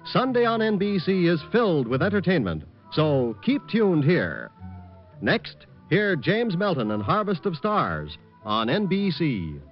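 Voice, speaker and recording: A male radio announcer from the golden age of broadcasting, polished and declamatory. His fairly high voice moves in big animated swings over prominent music, on a muffled old recording. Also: a little slow. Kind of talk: mid-century radio announcing.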